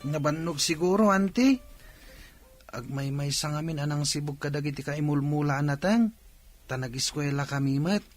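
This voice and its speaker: An actor playing an earnest, polite young Ilocano student and elder brother in a radio drama. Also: male voice, a little high, normal speed, soft background music, lightly acted.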